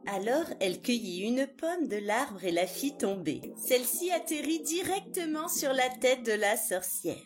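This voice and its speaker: Animated high-voiced woman storyteller. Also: unhurried, few pauses. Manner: expressive, dramatic storytelling. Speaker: children's storyteller. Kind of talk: scripted fairy-tale narration. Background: music behind the voice.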